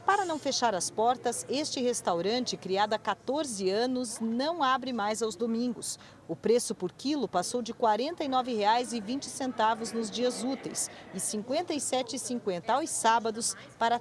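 A woman's voice: fairly high pitch, moderate pace, slight background noise. A woman with a Brazilian accent narrating a scripted television news report.

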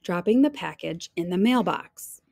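A woman reads a children's storybook aloud at an unhurried pace. Her delivery is lively and dramatic, lingering on important phrases, and the recording is close and dry. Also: fairly low pitch, creaky, no echo. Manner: dramatic, drawn-out emphasis on key phrases. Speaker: teacher reading a picture book aloud.

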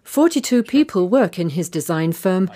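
Mellow, lower-voiced woman narrating a report. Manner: measured, even reading. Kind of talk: scripted news narration.

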